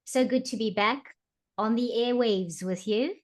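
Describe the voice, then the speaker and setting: A woman hosting an online interview who opens with a bright, welcoming manner and many filler ums. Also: fairly high pitch, measured pace. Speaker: host.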